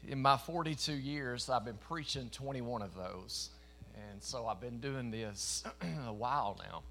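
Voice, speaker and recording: Southern preacher from East Tennessee. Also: male voice, medium pitch, measured pace, gravelly, slight background noise. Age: 40s. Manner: folksy, emphatic, bursts of louder preaching. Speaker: traveling preacher. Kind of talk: sermon. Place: church meeting in front of a congregation.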